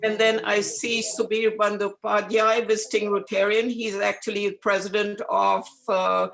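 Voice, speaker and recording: A man introducing guests at a club meeting in a flat listing manner. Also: sits high, a little slow, gravelly, rough recording.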